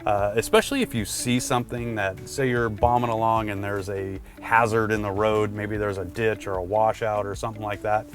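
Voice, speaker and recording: A man's voice: on the low side, normal speed, few pauses, soft background music. A man presenting product tips in a casual, conversational instructional style, talking directly to the viewer.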